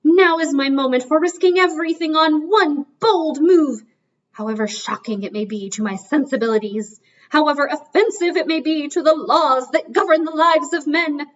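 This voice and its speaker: Storybook swan, old male, woman doing the voice: high, lofty, theatrical self-reproach, dull lo-fi sound. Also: normal speed, far from the mic, close to an everyday voice. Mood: resolute.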